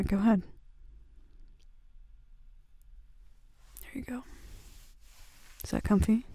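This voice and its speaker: A woman playing a gentle, touchy, vulnerable bad-girl roommate in an intimate ASMR roleplay, speaking softly and hesitantly into a close mic. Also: lowish voice, vocal fry, slightly rough, no echo, heavily acted. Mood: gentle, soothing. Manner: soft, hesitant, halting confiding talk. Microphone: close, dry microphone.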